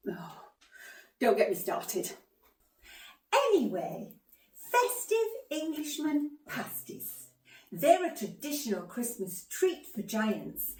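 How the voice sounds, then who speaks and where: Actress as widowed giantess cookery vlogger, flustered, self-conscious, cheerfully gruesome, swooping pitch, distant roomy recording, comic monologue. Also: female voice, full character voice. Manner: chatty, self-conscious, muttering asides then loud exclaiming. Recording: roomy, echoey, distant microphone.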